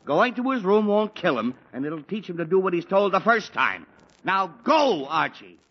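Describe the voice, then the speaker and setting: A harried, blustering father with a pounding headache, in a 1940s radio comedy. His voice runs high and swings widely as he scolds. The broadcast sounds dull and dated. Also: big pitch swings, normal speed, husky, full character voice. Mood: exasperated. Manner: exasperated, blustering.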